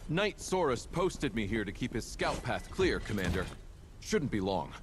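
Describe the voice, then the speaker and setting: An actor playing a loyal, steady knight sentry in a fantasy video game, speaking respectfully. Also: male voice, medium pitch, moderate pace, background noise, close to an everyday voice.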